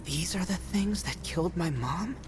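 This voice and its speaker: A man's voice: sits high, animated, normal speed. A young man voicing a proud, headstrong young talking beast in a video game, earnest and brash. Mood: alarmed. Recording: loud music under the voice. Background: loud game music.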